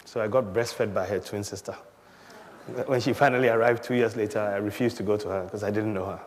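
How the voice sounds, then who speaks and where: A middle-aged man from Ghana recounting his life in a relaxed storytelling way, chuckling often and mimicking people in his anecdotes. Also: lowish voice, fairly quick, long reverb tail.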